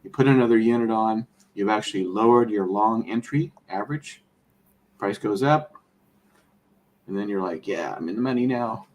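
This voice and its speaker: A man with a slightly low voice talks through trading in a loose, rambling way. He is a bit hoarse, as if losing his voice, and fills his sentences with ums and restarts. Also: moderate pace, slight background noise. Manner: rambling, explanatory, many fillers and restarts. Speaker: trader. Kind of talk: casual conversation.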